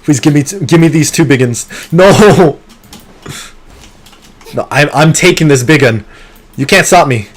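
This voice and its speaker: A man streaming a game live, talking through it in an excitable, competitive way, with sudden gasps and pleading. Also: on the high side, unhurried, long pauses. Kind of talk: live gameplay commentary.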